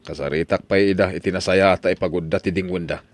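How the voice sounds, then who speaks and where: An actor playing an exasperated, grumbling farmer in a comic radio drama, speaking with an Ilocano accent. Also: male voice, deep voice, fairly even intonation, fast, dry recording, close to an everyday voice. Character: exasperated male farmer pleading with stubborn neighbours.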